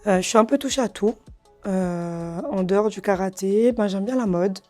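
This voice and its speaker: A woman, answering interview questions casually and hesitantly, with many fillers and soft laughs. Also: mid-range voice, moderate pace, faint music behind. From Réunion. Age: 30s. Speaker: karate champion guest.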